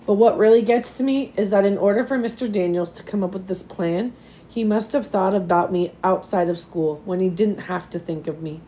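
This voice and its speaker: Female schoolteacher, voice a touch hoarse, mid-range, reading storybook narration to pupils. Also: mid-range voice, brisk, dark tone. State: slightly hoarse, recovering from nearly losing voice. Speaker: teacher reading a novel aloud to fifth graders. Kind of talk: read-aloud storytelling of a children's novel.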